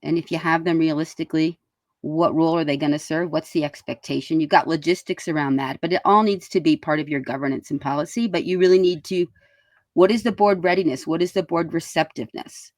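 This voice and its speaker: A woman's voice: deep voice, fairly even intonation, fairly quick, a little mellow, no room sound. A woman speaking as a school board member in a panel interview, emphatic and given to rhetorical questions.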